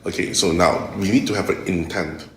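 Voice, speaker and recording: Low-voiced Malaysian man in an echoey room. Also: steady tone, slight background noise. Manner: halting, repeats words, often ends phrases with "okay". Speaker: interviewee, tech executive. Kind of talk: explanatory interview answers. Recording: echoey room, music underneath.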